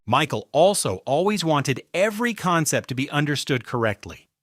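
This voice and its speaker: A man reading scripted narration for a video in a measured storytelling way. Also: on the high side, big pitch swings, a bit bright, a little hiss or hum. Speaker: narrator of a celebrity compilation video.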